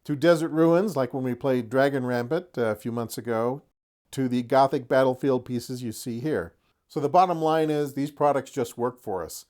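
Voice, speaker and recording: A man's voice: mid-range voice, moderate pace, a little mellow. Folksy middle-aged man hosting casually. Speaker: host of a tabletop wargaming channel. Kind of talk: casual product showcase to camera.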